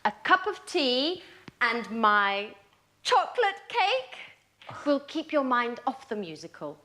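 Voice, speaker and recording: A patient friend who coaxes and gets exasperated easily in a language-course sitcom. Her voice is high and swoops expressively. She speaks slowly and deliberately. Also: sing-song, sharp tone, exaggerated voice. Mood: upbeat. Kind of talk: slow, clear scripted sitcom dialogue for learners.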